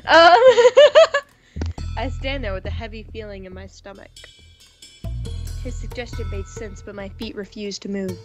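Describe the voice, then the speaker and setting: A woman's voice: medium pitch, big pitch swings, unhurried, pauses often. A playful, giggly woman hosting a casual gaming playthrough, chatting and reading story text aloud. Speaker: gaming video host. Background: music.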